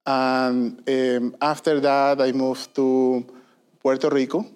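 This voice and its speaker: A man's voice: mid-range voice, level tone, takes it slowly, a little mellow, clear, resonant tone. A man, a guest answering interview questions earnestly, with many fillers and tag questions. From Venezuela. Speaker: guest, industry consulting leader.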